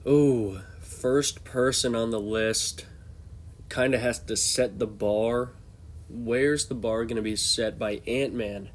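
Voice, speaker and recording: Young guy with a cold, kinda stuffy, talks slow and thinks out loud. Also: medium pitch, steady tone, noisy background. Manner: loose, off-the-cuff, thinking aloud. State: congested from a cold. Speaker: host. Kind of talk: casual tier-list commentary.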